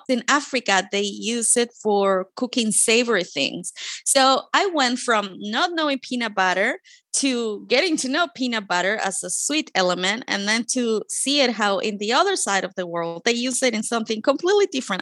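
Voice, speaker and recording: A woman's voice: fairly high pitch, fairly bright tone, no room sound. A woman from Chile with a Chilean Spanish accent, speaking English as a second language and telling her own immigration story in an earnest, heartfelt, conversational way. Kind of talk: personal storytelling monologue.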